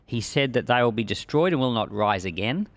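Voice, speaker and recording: A man's voice: mid-range voice, animated, a little fast. A man giving a conversational Bible-teaching sermon, explaining with everyday examples and rhetorical questions, repeating key phrases for emphasis. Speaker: preacher teaching a Bible chapter.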